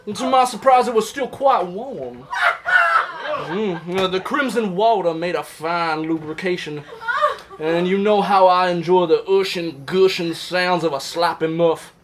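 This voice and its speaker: A lewd, boastful Confederate soldier acted out by a man reads his letters home in a high-pitched voice. His delivery is folksy and florid, comic stage material with clear background noise. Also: unhurried. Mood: lewd relish. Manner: folksy, old-timey, florid. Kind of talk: comedic live reading of love letters.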